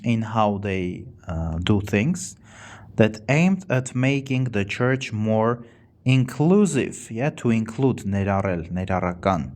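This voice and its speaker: A man with an Armenian accent teaching English on a live stream. He talks in a casual way, reads the chat aloud, and repeats and rephrases words slowly for learners. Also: medium pitch, darkish tone.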